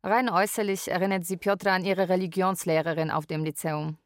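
An actress playing a lonely, wry Polish live-in carer, narrating a radio drama in a deliberate way. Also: female voice, on the low side, steady tone, dry recording, studio quality, lightly acted. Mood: matter-of-fact.

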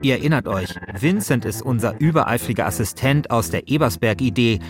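A man's voice: mid-range voice, animated, a little fast. A man hosting a podcast, reading scripted narration in a conversational way. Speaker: host and narrator.

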